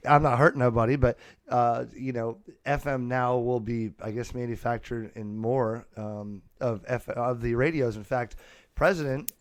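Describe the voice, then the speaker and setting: A man's voice: medium pitch, steady tone, moderate pace, no room sound. A man hosting a podcast in a casual, unscripted way, rambling and often restarting his sentences. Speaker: podcast host. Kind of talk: casual unscripted hobby chat. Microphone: close USB podcast microphone.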